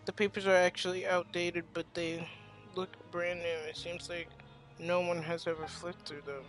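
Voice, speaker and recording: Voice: mid-range voice, little pitch movement, moderate pace, fairly bright tone. Playful teenage gamer over faint music. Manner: playful, slangy, reactive. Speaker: game streamer. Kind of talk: casual gameplay commentary. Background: game music.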